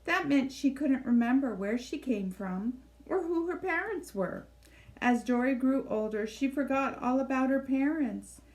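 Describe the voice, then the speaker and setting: A woman with a fairly high voice narrates a children's storybook. She reads expressively and shapes her phrases deliberately, as in a library read-aloud. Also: lively intonation, a little mellow, low-quality recording.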